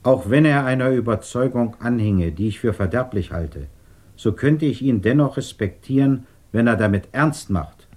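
An actor playing a grave, fatherly man of principle, speaking in measured, formal sentences in a 1950s radio drama. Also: mid-range voice, lively intonation, darkish tone, close to an everyday voice. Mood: stern, measured. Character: grave, principled, fatherly interrogator with firm convictions.

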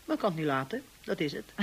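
A woman playing a blunt, upper-class sister-in-law in a radio drama, candid, brisk and probing. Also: on the low side, pushed and bright, breathy edge, full character voice.

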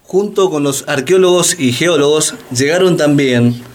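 A man's voice: on the high side, a little slow, natural voice. A man playing a grave, ironic storyteller narrator in a scripted radio drama.

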